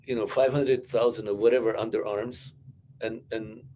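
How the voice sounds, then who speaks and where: A man from Ukraine whose first language is Russian talks in a fairly low voice. He makes emphatic points in a casual panel chat, restarting his sentences often. A faint background noise sits under his voice. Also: steady tone, normal speed, dark tone. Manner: earnest, many restarts and fillers, emphatic claims. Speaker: podcast guest, academic.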